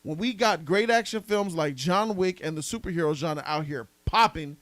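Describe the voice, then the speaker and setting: A man's voice: a little high, animated, normal speed, a bit bright, no echo. A man hosting a casual live podcast, debating movies in an emphatic, argumentative, slangy way with some swearing. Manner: emphatic, argumentative, repeats points, slangy and profane. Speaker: podcast host reading donor messages.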